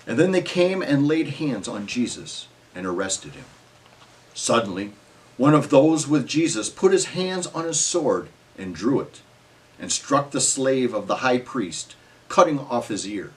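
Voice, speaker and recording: A man narrates scripture as drama, measured yet expressive. His mid-pitched voice moves widely up and down and sits slightly back from the microphone. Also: distant mic, low-quality recording.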